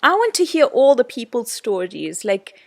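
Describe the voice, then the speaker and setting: A woman's voice: a little high, sing-song, moderate pace, vocal fry. A woman co-hosting a casual podcast chat, chatty and playfully teasing. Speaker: podcast co-host.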